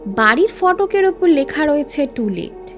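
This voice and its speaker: A woman's voice: high-pitched, big pitch swings, unhurried, soft background music. A woman voicing an impatient, practical male traveller in a horror audio story, direct and insistent. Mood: businesslike.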